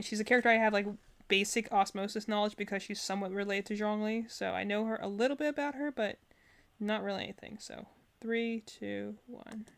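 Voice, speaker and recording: A woman's voice: medium pitch, gravelly. A woman streamer chatting casually and thinking aloud as she gives rambling, opinionated reactions. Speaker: streamer reacting to game character trailers.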